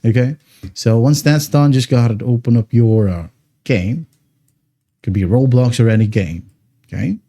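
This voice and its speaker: A man hosting a casual step-by-step software tutorial, often checking in with short tags like okay and right. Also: mid-range voice, normal speed, pauses often. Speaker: tutorial host.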